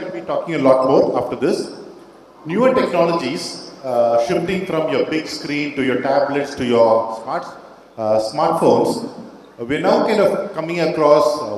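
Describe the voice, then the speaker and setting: A man's voice: fairly high pitch, a little mellow. A man introducing himself as a panelist at a conference, explaining earnestly with frequent hesitations, on a handheld microphone. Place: large conference hall. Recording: very echoey hall with faint ambient noise.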